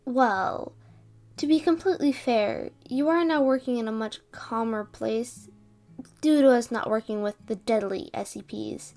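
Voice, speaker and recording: A woman playing a stressed, protective female scientist in an audio roleplay drama, speaking deliberately with sighs. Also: fairly high pitch, a little mellow, soft background music. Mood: gentle, serious.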